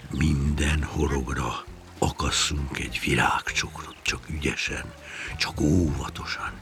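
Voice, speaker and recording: A wise old fish from a storybook, as a male narrator plays him. He speaks low and slowly, in a hushed, gentle voice, never in any hurry. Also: very animated, vocal fry, faint music behind, heavily acted. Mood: secretive. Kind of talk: dramatised children's storytelling.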